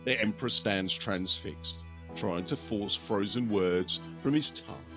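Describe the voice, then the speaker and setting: A man reading a dark sci-fi war story as an audiobook, grave and measured. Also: lowish voice. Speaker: narrator. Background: faint music.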